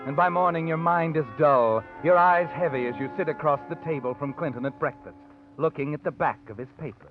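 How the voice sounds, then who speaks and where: An actor playing an ominous, knowing narrator of a 1940s radio suspense story. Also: male voice, on the high side, brisk, close to an everyday voice. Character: ominous, knowing male storyteller addressing the guilty. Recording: tinny old radio broadcast with music.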